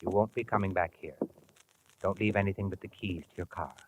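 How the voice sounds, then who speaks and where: An actor playing a terse, wary and insistent go-between in a 1950s radio drama. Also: male voice, low voice, steady tone, a little fast, lightly acted. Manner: terse, guarded. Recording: noisy vintage 1950 radio recording.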